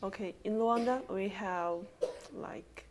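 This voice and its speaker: Chinese woman speaking halting English. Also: medium pitch, background noise. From China. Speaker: guest. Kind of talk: casual conversation.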